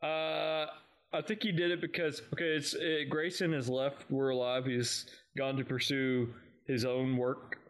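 A man's voice: mid-range voice, little pitch movement, a little slow. A man co-hosting a fan podcast in casual conversation.

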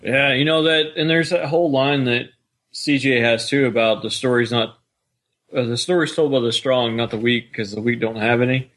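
A man's voice: medium pitch, steady tone, moderate pace, a bit bright. A man co-hosting a podcast, chatting casually as a fan about a story. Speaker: podcast co-host.

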